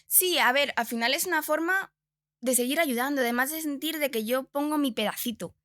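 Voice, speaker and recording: A woman's voice: high voice, brisk, a bit bright, no echo, studio quality. A woman in her twenties with a Castilian Spanish accent, chatting informally and telling personal anecdotes as a podcast guest. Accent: Spanish (Spain). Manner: informal, colloquial. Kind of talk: casual chat, personal anecdotes.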